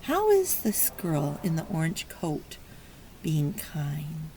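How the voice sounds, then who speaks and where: A woman teacher reading a picture book aloud to young children, stopping to ask questions and encourage them in an expressive way. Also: low voice, takes it slowly, low-quality recording. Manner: encouraging, praising child listeners; expressive, exclaiming and prompting. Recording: clear background noise.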